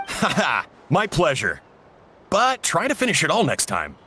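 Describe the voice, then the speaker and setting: An actor playing a genial village cook and uncle in a video game, explaining things a little theatrically. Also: male voice, on the high side, wildly swooping pitch, normal speed, sharp tone, a little hiss or hum, exaggerated voice. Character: genial adult male village cook, uncle, slightly dramatic. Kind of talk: English video game voice acting.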